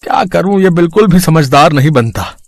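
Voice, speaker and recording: A man voicing a worried, ageing village barber and father who admonishes in a Hindi animated story. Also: fairly high pitch, moderate pace, creaky, dry recording. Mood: apologetic. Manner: admonishing, exasperated.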